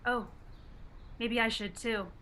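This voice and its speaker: A woman's voice: a little high, steady tone, slow pace, bright, forceful tone. A woman reading a young college woman with a wry, teasing delivery in a script-reading radio play.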